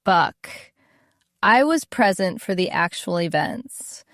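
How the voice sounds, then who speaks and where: A woman acting as a long-suffering older wife with a low, smooth voice. She speaks slowly and precisely, dry and unimpressed, in a closely recorded audiobook drama. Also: lively intonation, a bit bright, no room sound, full character voice. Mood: wry.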